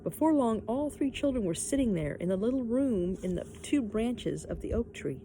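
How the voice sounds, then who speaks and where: A woman's voice: on the high side, animated, normal speed, dark tone, a little hiss or hum, poor audio. A woman narrating a children's story expressively, like a teacher reading aloud.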